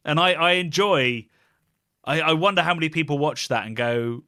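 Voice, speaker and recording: A man's voice: a little high, normal speed, sharp tone, no room sound, studio quality. A British man hosting a casual podcast, musing and rambling in a wry way. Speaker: podcast host, beer YouTuber.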